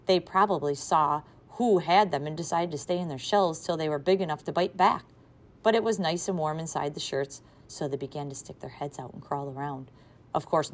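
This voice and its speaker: A woman teacher reading a children's novel aloud to a class, with expressive, varied loudness. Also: deep voice. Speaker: third grade teacher.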